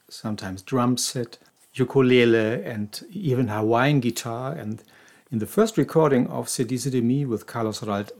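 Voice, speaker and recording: A man co-hosting a podcast, his voice sitting at an ordinary middle pitch. He explains things casually and knowledgeably, often restarting his phrases. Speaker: podcast co-host.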